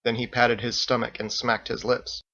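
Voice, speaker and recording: A man's voice: mid-range voice, flat delivery, normal speed, sharp tone, lo-fi sound, slight background noise. A man reading his own story as a scripted audiobook narration.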